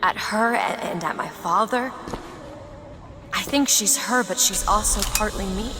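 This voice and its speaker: An actress playing an earnest, wounded young woman, once an imprisoned daughter, speaking intensely and haltingly in a video game. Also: mid-range voice, background noise, natural voice. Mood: hurt, angry. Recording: loud music underneath.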